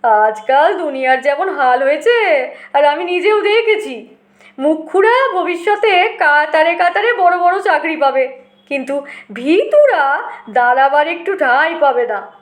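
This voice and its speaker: A cantankerous old uncle from a comic storybook, played by a woman, full of bluster and tall tales. The voice is very high, theatrical and scolding. It rings with room echo. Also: lively intonation, a little slow. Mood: huffy.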